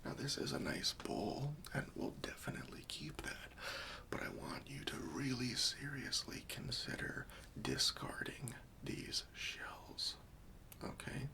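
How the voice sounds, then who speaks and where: A gentle, patient helper played by a man in an ASMR roleplay, whispering slowly and coaxingly. The voice is crisp and sibilant on top, with a friendly, reassuring way of putting questions. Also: mid-range voice, sing-song, heavily acted. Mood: gently coaxing.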